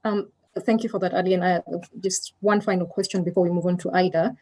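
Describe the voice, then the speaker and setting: A woman's voice: lowish voice, fairly even intonation, fast talker, darkish tone. A woman moderating an online panel, asking questions politely and hesitantly.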